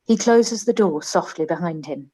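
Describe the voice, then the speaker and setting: A woman's voice: on the low side, normal speed, dark tone, slightly rough, low-quality recording. A woman reading scripted stage directions as the narrator of a radio play.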